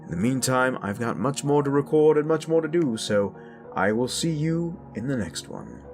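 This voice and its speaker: A man's voice: medium pitch, expressive, moderate pace, soft background music. A man talking casually to his audience as the creator of an audio roleplay channel. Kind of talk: casual outro addressed to audience.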